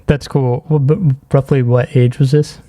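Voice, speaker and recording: A man's voice: mid-range voice, flat delivery, moderate pace, dark tone. A man hosting a casual interview, easygoing, giving short acknowledgements and then asking questions. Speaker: podcast host, interviewer.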